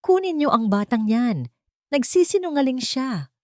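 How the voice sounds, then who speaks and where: Haughty villainess for audio drama, performed by a female narrator: shrill, commanding, raised pitch, dry close sound. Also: sing-song, a little slow, mellow, no echo. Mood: panicked fury. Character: haughty villainous society woman in a panic.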